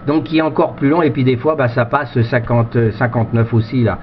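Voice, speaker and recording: A man's voice: mid-range voice, fairly even intonation, a little fast. A man chatting casually as an amateur radio operator, rambling and often repeating himself as he searches for words. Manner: hesitant, repetitive, rambling delivery. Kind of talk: casual radio contact chat with ham jargon. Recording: dull lo-fi radio-station audio, faint noise.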